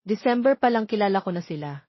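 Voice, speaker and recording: A woman's voice: mid-range voice, expressive, fast, no echo, lightly acted. Lady reading as wounded young dad in a love story, earnest n reproachful, kinda muffled dry recording. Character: hurt, pleading young father confronting his lost love. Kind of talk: romance audio story dialogue.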